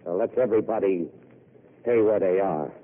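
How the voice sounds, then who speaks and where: A man playing a calm, threatening gangster boss in a 1940s radio play, with a slow drawl. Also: low-pitched, very noisy, natural voice. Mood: menacing. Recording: tinny old radio broadcast.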